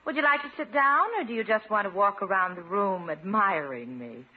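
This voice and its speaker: Brief: poised, teasing lady principal, breathy lilting voice, arch playfulness, old radio comedy. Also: mid-range voice, animated, a little fast. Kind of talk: 1950s radio comedy acting. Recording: muffled old radio recording.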